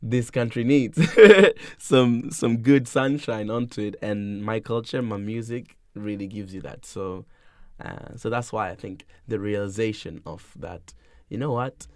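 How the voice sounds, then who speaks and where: A man's voice: lowish voice, fairly even intonation, measured pace, darkish tone, dry recording, studio quality. A young man from Tanzania, a musician being interviewed, chatting casually and playfully, laughing often and rambling loosely. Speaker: musician guest.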